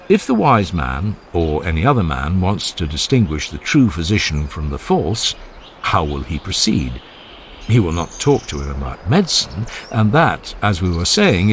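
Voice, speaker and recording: Deep-voiced man, expressive formal audiobook narrator. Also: a little hiss or hum. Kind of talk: dramatized scripted reading of a classical philosophical dialogue.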